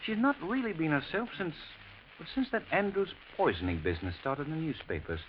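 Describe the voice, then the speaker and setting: Through a muffled, faintly noisy vintage broadcast comes a chatty English gentleman. His British-accented delivery is animated and polite, with a gossipy ease typical of a radio play neighbor. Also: on the high side, a little fast. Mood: confiding, gossipy. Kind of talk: 1940s radio acting.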